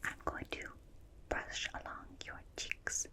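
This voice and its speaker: A woman playing a gentle, curious alien in an ASMR roleplay, speaking in soft breathy whispers with soothing, clinical, repetitive phrasing close to the microphone. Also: natural voice. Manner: slow, soothing, repetitive, clipped detached phrasing. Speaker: ASMR performer. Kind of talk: ASMR roleplay, personal attention. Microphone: close binaural microphone.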